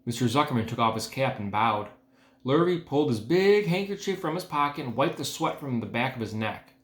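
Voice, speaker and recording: A man's voice: mid-range voice, expressive, a little fast. Man reading a storybook in an echoey room. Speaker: educator reading aloud to students. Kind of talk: casual address and storybook narration.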